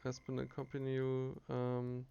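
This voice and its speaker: Brief: slow, gravelly male streamer reading game text aloud, halting with fillers, dull lo-fi sound. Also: mid-range voice, monotone, dark tone. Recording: dull lo-fi recording.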